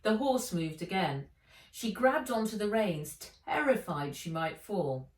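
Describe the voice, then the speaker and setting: A woman author reading a children's storybook aloud in a measured, expressive way. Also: mid-range voice. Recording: echoey room, distant from mic.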